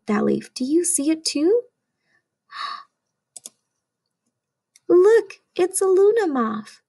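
A woman reading a children's picture book aloud as a storyteller, in an expressive way aimed at young listeners. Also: fairly high pitch, slightly rough.

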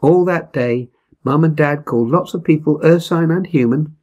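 A man in his seventies with a husky voice, reading a short story aloud expressively. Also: a little high, normal speed, warm tone. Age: 70s. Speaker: story reader. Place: indoors in a wood-beamed room at home.

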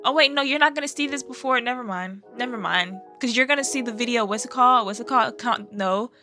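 Bright, high-voiced young American woman. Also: fast talker, faint music behind. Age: 20s. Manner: playful, animated, slangy. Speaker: YouTube reaction host. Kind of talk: casual reaction chat. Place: small bedroom studio, indoors. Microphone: close boom-arm microphone.

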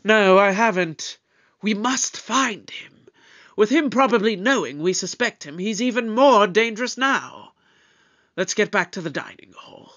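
An actor playing a brisk, eccentric investigator in an audio drama, speaking in a measured, deliberate way. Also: medium pitch, animated, a bit bright. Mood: grave, urgent.

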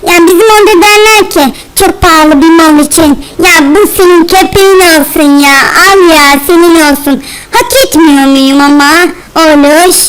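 A woman's voice: extremely high pitch, moderate pace, rough recording. A woman voicing a fussy, doting spider mother in a children's cartoon, chattering and chiding with lots of exclamations. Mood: scolding.

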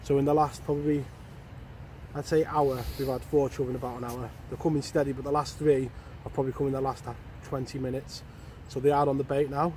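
A man, giving steady running commentary while fishing on a riverbank. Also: medium pitch, brisk. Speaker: angling vlogger. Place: outdoors on a riverbank. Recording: faint outdoor noise.

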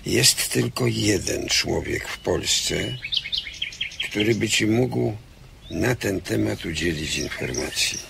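Grave male adviser, low, very gravelly, measured, birdsong and noise behind, audio drama. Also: expressive, exaggerated voice. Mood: grave, confiding. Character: grave, deliberate man giving confidential advice. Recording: clear background noise with birdsong. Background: birdsong ambience.

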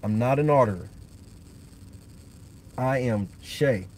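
A man's voice: mid-range voice, slow, a little mellow, a little hiss or hum, rough recording. A man in his thirties hosting a livestream, talking loosely and profanely in casual chat from a parked car at night into a phone on the dashboard. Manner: loose, profane, rambling talk. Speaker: livestream host.

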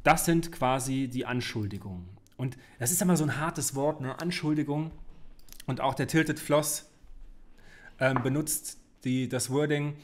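A man's voice: medium pitch, measured pace, a little hiss or hum. A man in his forties hosting a casual reaction video from his home studio, talking in a rambling, chatty way with many restarts and self-corrections. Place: home studio with desk and shelves. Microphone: studio mic on desk, headphones.

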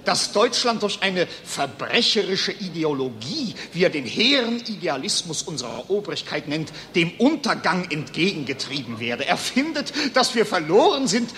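An actor playing a pompous, excitable psychiatrist lecturing colleagues in a theatrical old German radio play style. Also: male voice, high-pitched, exaggerated voice. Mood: scandalised, mocking. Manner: theatrical, declamatory, with drawn-out emphasis. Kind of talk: mid-20th-century German radio play acting. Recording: old radio recording, hall reverb, hiss.

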